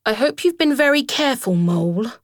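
Fussy prim rat voiced by a woman, storybook, slow, lilting, dry. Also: mid-range voice, very animated, dry recording, pitched above the performer's own voice. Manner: prim, anxious, correcting. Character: fussy, earnest female water rat. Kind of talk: audiobook character reading.

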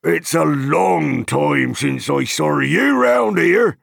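Man voicing a gruff, hearty hobbit farmer. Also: fairly high pitch, expressive, takes it slowly, fairly bright tone, no echo, studio quality, voice pushed up, heavily acted. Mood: surprised, friendly. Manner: rustic, blunt, exclamatory. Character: gruff, hearty, suspicious but hospitable middle-aged hobbit farmer. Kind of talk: dramatized fantasy audiobook character.

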